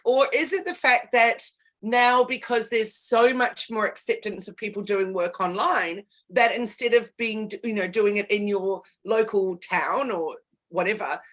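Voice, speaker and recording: A woman's voice: mid-range voice, a bit bright. A woman in her forties with a New Zealand accent, hosting a casual interview podcast over a video call, chatty and asking long, rambling questions. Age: 40s. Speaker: podcast host.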